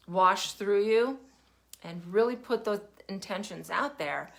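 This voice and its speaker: An older woman hosting a casual livestream, chatty and meandering, her throat still rough from a lingering cough. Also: mid-range voice. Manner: chatty, warm-hearted, meandering with fillers. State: recovering cough, cough drop in mouth. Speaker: podcast host. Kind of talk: casual livestream talk to viewers.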